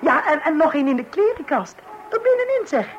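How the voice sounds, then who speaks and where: An actress playing an expressive, chatty married woman in a domestic radio comedy, full of small exclamations. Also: sits high, a little fast, echoey room, highs cut off. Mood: indignant, prim. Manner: exclaiming, animated. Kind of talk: radio play acting. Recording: noisy old radio play recording.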